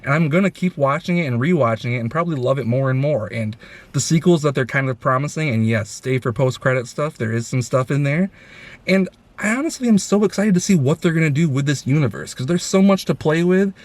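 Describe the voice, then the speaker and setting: A man's voice: on the high side, lively intonation, fairly quick. A man hosting a casual film review chat, talking in an enthusiastic, effusive way.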